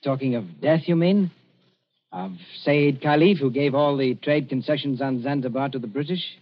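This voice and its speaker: A man's voice: mid-range voice, normal speed, lightly acted. An actor playing a shrewd younger man in a radio drama, coolly suspicious and pointed in his questioning. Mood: probing, suspicious. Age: young adult. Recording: dull lo-fi radio recording.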